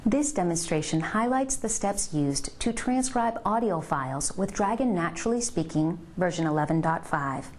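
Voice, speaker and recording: A woman reading scripted instructional narration in a measured, step-by-step way, as a presenter of a product tutorial. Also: lowish voice, darkish tone.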